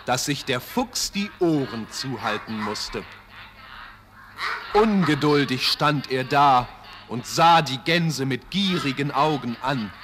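A man narrating a children's fairy tale in an expressive scripted style, with deliberate, dramatic phrasing. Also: mid-range voice, background noise.

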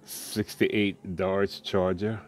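A man chatting casually about his collection from off camera, relaxed and rambling, with plenty of uhs. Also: low-pitched, very slow, slight background noise. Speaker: die-cast car collector vlogger. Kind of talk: casual show-and-tell chat.

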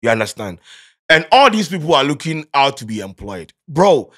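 A Ghanaian man in his thirties hosting a casual studio chat, lively and gesturing, mixing pidgin into his English. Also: medium pitch, normal speed. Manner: animated, gestures a lot, mixes pidgin into English. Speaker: podcast host. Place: small studio with padded walls. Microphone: studio mic on boom arm.

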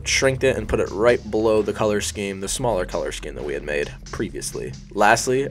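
A young man with a sniffly, allergy-stuffed voice, casually talking through a design tutorial as a voice-over, rambling, self-deprecating and swearing now and then. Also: on the low side. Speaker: YouTube design tutorial host. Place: hot bedroom.

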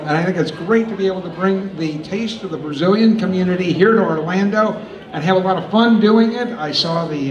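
A man's voice: sits high, distant mic, lo-fi sound. A middle-aged man, a guest speaker on a stage, talks into a handheld mic in a light, self-deprecating way. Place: event hall stage. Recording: echoey hall with crowd noise.

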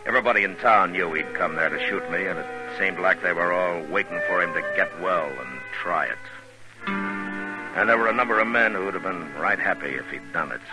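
An actor playing a steady, laconic frontier marshal in 1950s radio drama, with measured, understated delivery and hesitant pauses. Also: male voice, low-pitched, over music, lightly acted. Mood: grave, narrating. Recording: noisy vintage radio recording.

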